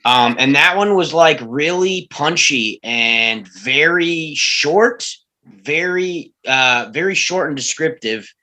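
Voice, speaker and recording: A man's voice: on the high side, animated, slow, bright, forceful tone. A man in a casual group discussion of a script reading, speaking informally and earnestly in a laid-back way. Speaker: table read panelist.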